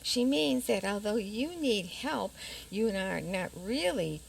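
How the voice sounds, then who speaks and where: A woman acts out a defiant, scheming princess in a radio play. The voice is gravelly at the edges and very animated, with a pointed, assertive delivery. Faint noise sits behind it. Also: mid-range voice, takes it slowly, heavily acted. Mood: determined. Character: blunt, scheming, defiant fairy-tale princess. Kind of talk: community radio theater acting.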